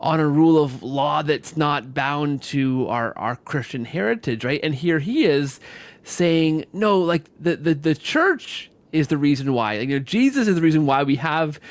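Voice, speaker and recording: A man's voice: a little high, animated, unhurried, no echo. A man hosting a conversational podcast interview, talking earnestly in rambling sentences and repeating phrases as he goes. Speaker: podcast host.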